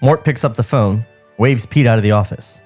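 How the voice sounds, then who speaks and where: Man, podcast co-host, scripted narration, reading stage directions, average pitch, soft music underneath. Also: moderate pace. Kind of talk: scripted narration, table read. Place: podcast studio.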